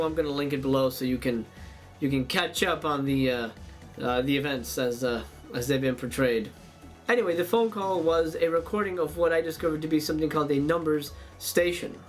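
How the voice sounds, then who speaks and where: Male web host, slightly high voice, theatrical rambling monologue, faint music underneath. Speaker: YouTube show host. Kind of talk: casual monologue to camera.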